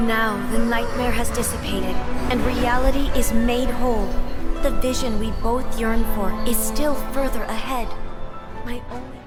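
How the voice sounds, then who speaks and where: A woman's voice: a little high, close to an everyday voice. A woman voicing a serene, poetic female character in a video game, speaking in a lofty manner. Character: serene poetic woman narrating visions of eternity. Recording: loud game music behind.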